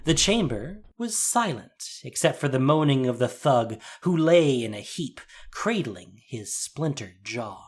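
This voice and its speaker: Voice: medium pitch, big pitch swings, a little slow, hardly any pauses, breathy edge. A narrator reading a scripted fantasy story in a dramatic audio drama style. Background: soft music.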